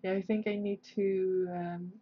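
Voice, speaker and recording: A dull, lo-fi livestream recording of a woman with a Swedish accent. She talks slowly and casually, pausing often and hunting for English words as she hosts. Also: mid-range voice, little pitch movement, husky. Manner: hesitant, halting, searching for English words. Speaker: livestream host, artist. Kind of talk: casual livestream chat while drawing.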